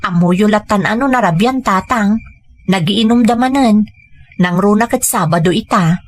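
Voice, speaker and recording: A woman's voice: mid-range voice, moderate pace, lightly acted. A woman with an Ilocano accent playing a humble, determined married daughter and mother in an audio drama. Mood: hesitant, pleading.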